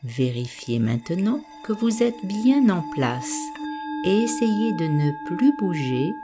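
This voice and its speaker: A woman guiding a meditation, giving gentle, soothing and unhurried instructions. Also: fairly low pitch, very animated, faint music behind. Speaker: meditation guide. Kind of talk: guided meditation narration.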